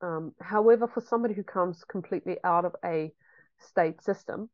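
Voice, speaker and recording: A woman's voice: on the low side, brisk, dry recording, highs cut off. A woman, a homeschooling mother chatting reflectively in an interview with frequent ums. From New Zealand. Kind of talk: casual interview chat.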